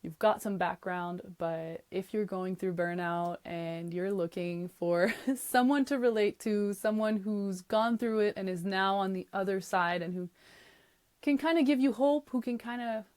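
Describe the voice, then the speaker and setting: A woman in her thirties from Quebec whose first language is French, hosting her own podcast alone and talking in a chatty, upbeat way with soft laughs between phrases. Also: medium pitch, unhurried. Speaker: podcast host. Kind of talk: casual solo podcast monologue.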